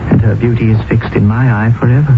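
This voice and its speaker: An actor playing an earnest, stubborn young British doctor in love, in a radio drama set in colonial India. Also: male voice, medium pitch, steady tone, fast talker, background noise, natural voice. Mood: ardent, impatient. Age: young adult. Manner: earnest, defiant, declamatory. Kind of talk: 1980 radio drama acting. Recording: muffled, narrow-band old radio broadcast.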